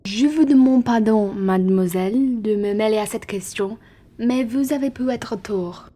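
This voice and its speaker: A woman's voice: a little high, a little slow, dark tone. A woman playing a courteous, condescending upper-class traveller in an amateur radio play, formal and persuasive. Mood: polite, persuasive.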